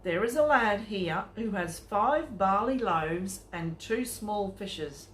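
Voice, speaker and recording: A woman's voice: mid-range voice, lively intonation, slow. Woman voicing a male disciple, practical, doubtful, questioning, Bible storybook, echoey recording, faint noise.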